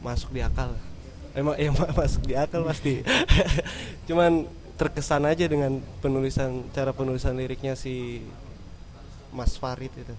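A man's voice: medium pitch, fairly even intonation, normal speed, a crowd in the background. A man, a band musician, chatting casually as an interview guest. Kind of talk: casual chat. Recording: noisy background ambience.

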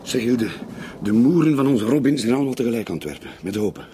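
An actor playing a jovial, gruff middle-aged father with a Flemish accent and an animated, chummy way of talking in a radio drama. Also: mid-range voice, fast talker, noisy background, close to an everyday voice. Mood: astonished. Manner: animated, colloquial, talks chummily. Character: jovial, gruffly cursing family father, dismissive of worry.

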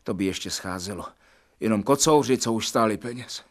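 An actor playing a hot-tempered young doctor in a radio play, vehement and agitated. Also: male voice, lowish voice, lightly acted. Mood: disgusted.

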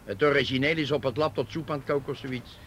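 A man acting a grumpy, sarcastic police detective in a radio play, with a gruff and scornful way of talking. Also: mid-range voice, steady tone, fast, fairly bright tone, slight background noise, lightly acted. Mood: contemptuous. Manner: gruff, scornful, sardonic, swearing.

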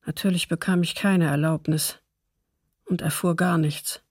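An actress playing a subdued woman witness, husky and creaky, recounting her memories in a documentary radio drama. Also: fairly low pitch, fairly even intonation, measured pace, no room sound, studio quality. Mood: subdued, bitter.

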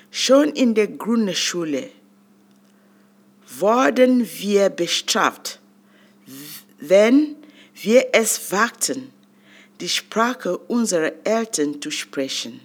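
An older woman from Cameroon, whose first language is not German, reading her own memoir aloud in a deliberate way with long pauses. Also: medium pitch, sing-song, breathy edge. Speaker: autobiographical reader.

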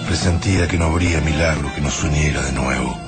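A man's voice: rumbling low, fairly quick. An actor playing a grieving, resigned man, reflective and somber, narrating his memories in a radio drama. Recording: loud guitar music behind.

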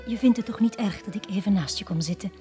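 Casting: woman playing weary dockside bar girl, medium pitch, chatty, plain-spoken, forward, faint music, radio play. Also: fast talker, mellow, natural voice. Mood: coaxing, weary. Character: tired, forward harbour bar hostess seeking company. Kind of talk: 1980 radio drama acting.

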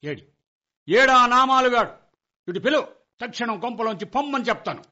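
A blustering middle-aged father in a radio comedy. His voice is high and swoops animatedly as he argues with stubborn exasperation. The old radio-play sound is dull and lo-fi. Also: lively intonation, normal speed, pushed and bright, full character voice. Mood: angry, stubborn.

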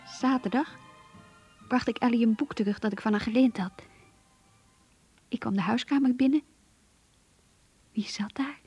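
An actress playing an earnest, lovestruck young woman confiding her diary in a radio play, in a hushed, breathy voice. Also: a little high, a little slow, long pauses, soft background music. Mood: tender, wistful. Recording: muffled, lo-fi radio play recording.

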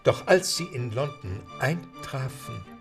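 Animated, gravelly male storyteller over music. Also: medium pitch, takes it slowly. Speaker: narrator. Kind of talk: dramatic scripted storytelling narration. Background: background music.

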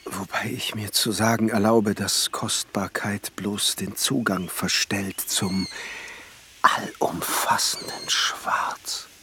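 An actor playing a reverent, awestruck glassmaker in a German radio play, speaking in a halting, theatrical way that often drops towards a whisper. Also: male voice, on the low side, steady tone. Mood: hushed reverence. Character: awestruck male glassmaker rapturously contemplating a painting. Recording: loud music behind the voice.

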